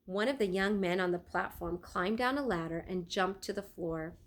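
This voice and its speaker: A woman reading a children's storybook aloud like a teacher, expressive and deliberate, pausing to put questions to her listeners. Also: fairly low pitch.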